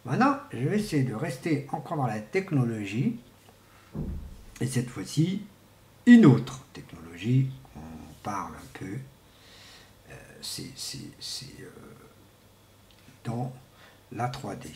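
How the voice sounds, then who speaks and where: A man giving an informal, rambling monologue to viewers, speaking haltingly and often repeating words and restarting. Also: mid-range voice, sing-song, a little mellow. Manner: often clears throat. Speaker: vlogger. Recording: echoey room with faint background noise.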